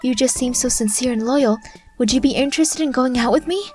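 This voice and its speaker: Man putting on a high, sweet young woman. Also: a little fast, soft background music. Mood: tender, shy. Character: sweet, gentle young woman, shy love interest. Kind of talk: manga dub dialogue.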